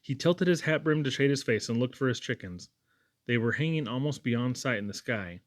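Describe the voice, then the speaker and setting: A man's voice: medium pitch, lively intonation, moderate pace. Man narrating a storybook. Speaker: audiobook reader.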